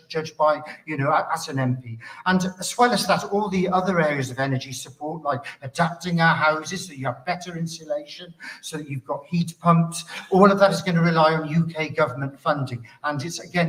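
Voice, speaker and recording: Higher-pitched man, slightly distant, explaining. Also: fairly bright tone. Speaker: parliamentary candidate. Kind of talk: hustings speech.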